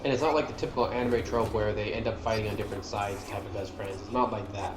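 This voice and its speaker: A man's voice: fairly low pitch, steady tone, fast talker, background noise. A man giving casual, enthusiastic fan commentary over game cutscenes in an informal way. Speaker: gaming video creator. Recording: roomy echo, music playing behind. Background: game cutscene music.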